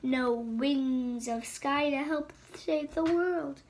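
A woman's voice: high-pitched, slow, a little mellow. A woman voicing a scheming, venomous female sand dragon queen who hisses and mocks, reading a storybook aloud. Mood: taunting. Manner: menacing, mocking, hissing taunts. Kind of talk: storybook read-aloud voice acting.

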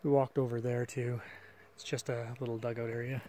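A man's voice: mid-range voice, little pitch movement, brisk, mellow, slight background noise. A man filming and casually narrating a walk through an old mine site outdoors, thinking aloud with fillers on a camera mic. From Canada. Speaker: mine explorer filming a walkthrough.

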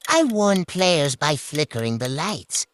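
A woman's voice: low voice, big pitch swings, slow, very noisy. A woman reading short scripted riddle clues for a quiz. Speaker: quiz clue reader.

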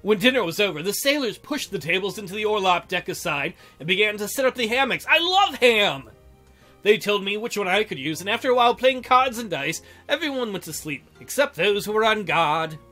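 Male let's-play narrator, bright and high, theatrical reader with joking asides, faint music underneath. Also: big pitch swings, fairly quick. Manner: jokey, theatrical, breaks into silly mock voices. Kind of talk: reading a visual novel aloud with joking commentary. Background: game music.

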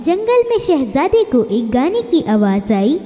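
A woman's voice: high-pitched, big pitch swings, a little slow, dark tone, big-room sound, faint music behind. A woman narrating a scripted children's fairy tale as storyteller.

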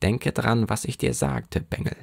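A man's voice: fairly low pitch, expressive, moderate pace, creaky, no room sound, clean studio sound. A man voicing a pompous, self-satisfied parish beadle lecturing an orphan boy in an audiobook. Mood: threatening whisper. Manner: pompous, self-important, threatening.

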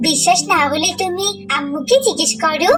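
Woman as very high-pitched sly little schoolgirl. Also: a little fast, music in the background. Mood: defensive, fibbing. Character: sly young schoolgirl daughter, eager and fibbing. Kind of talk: children's moral cartoon voice acting.

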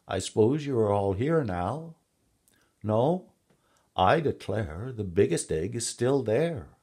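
A man's voice: fairly low pitch, big pitch swings, takes it slowly, darkish tone, husky, pitched above the performer's own voice, heavily acted. A man voicing a fussy, impatient mother duck in a storybook tale, exclaiming in exasperation.

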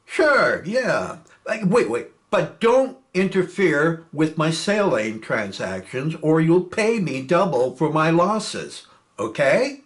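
A man's voice: a little high, sing-song, slow pace. A man reading a play aloud, voicing a sly, scheming male airman who is always cutting deals.

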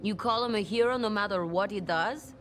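A woman's voice: medium pitch, fairly quick, a little hiss or hum, lightly acted. An actress playing a bitter, grieving young woman in a confrontation, in naturalistic screen drama. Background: faint music under the dialogue.

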